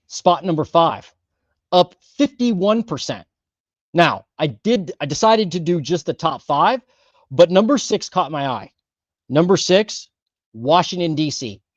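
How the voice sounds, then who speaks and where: A man's voice: fairly high pitch, no echo, highs cut off. Chatty man hosting a live stream. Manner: chatty, filler-heavy, self-correcting. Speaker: host of a housing market livestream. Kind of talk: casual livestream data rundown.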